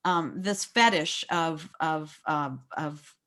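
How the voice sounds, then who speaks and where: A woman's voice: sits low, slow pace, fairly bright tone. An American woman leading a book discussion, speaking in a reflective, explanatory way and often rephrasing mid-sentence. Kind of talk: thoughtful literary discussion.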